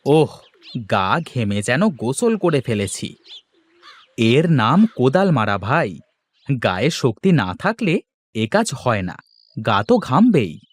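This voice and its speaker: Man playing an earnest, animated village farmer. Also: mid-range voice, takes it slowly, pauses often. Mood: hearty, directing. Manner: earnest, expressive cartoon dialogue. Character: patient, generous village farmer, adult man.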